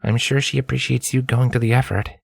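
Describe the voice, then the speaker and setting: Guy doing a kind nerdy boy pony, higher voice, optimistic, casual and reassuring, storybook reading. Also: steady tone, brisk, gravelly, no echo. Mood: soft, warm. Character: optimistic, scholarly male pony, gentle and caring.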